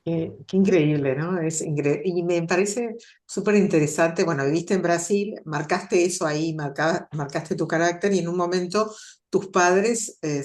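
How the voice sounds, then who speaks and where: A woman interviewing a guest in a casual chat, affirming and asking quick follow-up questions. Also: lowish voice, a little mellow.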